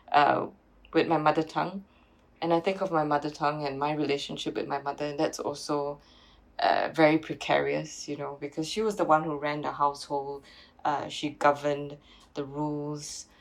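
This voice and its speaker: A woman's voice: low voice, creaky, rough recording. A woman, a curator answering interview questions reflectively, with many restarts and fillers. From Singapore. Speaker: curator and PhD researcher.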